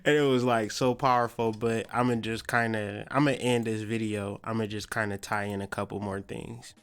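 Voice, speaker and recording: A man's voice: on the low side, steady tone, moderate pace, a bit bright, clear, resonant tone. A man hosting a podcast, mixing casual slangy commentary with reading aloud and repeating phrases for emphasis. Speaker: podcast host.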